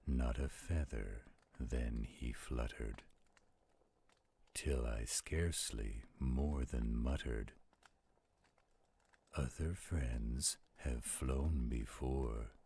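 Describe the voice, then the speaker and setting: A man voicing a theatrical, flirtatious werewolf storyteller in an audio roleplay, with a gravelly, husky voice. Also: very deep voice, mellow, slight background noise, exaggerated voice. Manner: slow, dramatic, playful. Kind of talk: audio roleplay acting with dramatic poem recitation.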